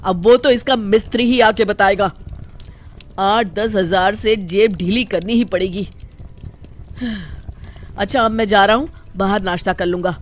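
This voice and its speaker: A woman voicing an exasperated young husband who complains loudly in an animated story. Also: medium pitch, unhurried, pauses often, a little hiss or hum, natural voice. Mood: irritated. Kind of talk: animated moral story dialogue.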